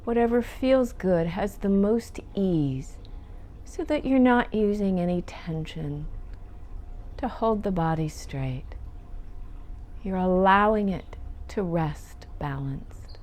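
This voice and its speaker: A woman leading a guided meditation as a teacher, speaking gently and soothingly and lingering on her phrases. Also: medium pitch, animated, takes it slowly, a little hiss or hum.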